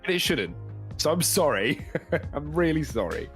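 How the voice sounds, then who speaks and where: A man's voice: on the high side, big pitch swings, normal speed, a bit bright, faint music behind. A young man co-hosting a podcast in casual chat, his throat sore and cracking, nose stuffy, laughing often as he rambles. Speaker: podcast co-host.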